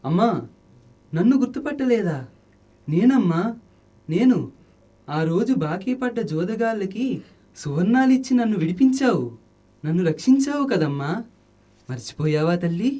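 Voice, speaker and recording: A man's voice: sits high, animated, normal speed, pauses often, mellow. A man playing a humble, anxious, kindly Buddhist monk in a radio drama, exclamatory and solicitous. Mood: concerned, pleading.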